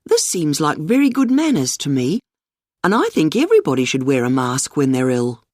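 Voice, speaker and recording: A woman giving a clearly spoken scripted monologue for a language-course listening exercise. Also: low voice, very animated, moderate pace, a little mellow, dry recording, clean studio sound. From New Zealand.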